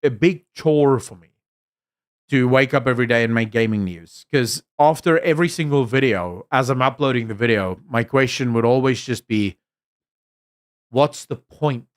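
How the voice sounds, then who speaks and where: A young adult man from South Africa whose Afrikaans accent has been smoothed by American and British influences. He hosts a casual live stream, reading chat messages aloud. His opinions are emphatic, with frequent fillers and restarts. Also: mid-range voice, moderate pace. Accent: Afrikaans South African, mixed with American and British. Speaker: livestream host reading chat.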